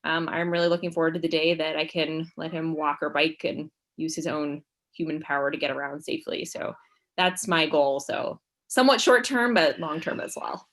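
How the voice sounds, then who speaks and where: A woman's voice: low-pitched, steady tone, moderate pace, fairly bright tone. A woman, a university researcher, introducing herself on a committee video call in a friendly, earnest way.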